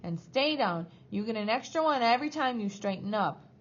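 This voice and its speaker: A woman's voice: medium pitch, lively intonation, measured pace, husky, lo-fi sound. A woman voicing a stern male headmaster who snaps out harsh, commanding orders. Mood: harsh snapping. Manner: snapping, barked orders.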